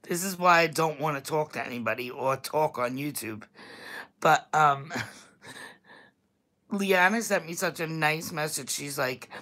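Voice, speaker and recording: A woman with a thick Staten Island New York accent and a deep voice, chatting bluntly and casually to viewers on a livestream, laughing often. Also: a little slow, pauses often, fairly bright tone. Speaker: YouTube vlogger.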